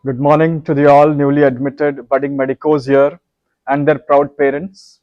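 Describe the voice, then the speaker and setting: A formal college dean, voiced by a man delivers a solemn welcome speech. His voice sits a little high and sounds close and dry. He speaks with measured ceremony. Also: level tone, no room sound, muffled recording, soft background music, natural voice.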